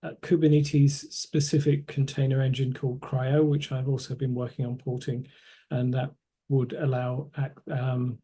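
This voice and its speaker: A middle-aged man, a long-time open-source developer, giving a measured, technical self-introduction on a video call with many pauses and fillers. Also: mid-range voice, dark tone, husky.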